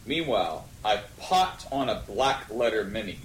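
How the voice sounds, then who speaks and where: A male podcast co-host gives a mock-dramatic comic reading of fan fiction. He takes his time, with lively pitch swings and exclaimed dialogue. The room is echoey, with faint noise. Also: a little slow. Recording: roomy sound with faint noise.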